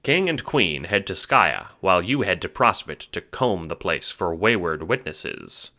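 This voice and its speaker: A man narrates an audio drama in a very low, bright and forceful voice. The delivery is scripted storytelling, addressed to the listener as the main character. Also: sing-song, a little slow.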